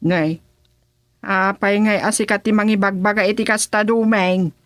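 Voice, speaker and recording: A woman's voice: medium pitch, fairly even intonation, moderate pace, dry recording, natural voice. An actress with an Ilocano Filipino accent playing a councilman's flustered wife, hesitant and whining in protest, in a comedy radio drama. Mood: complaining.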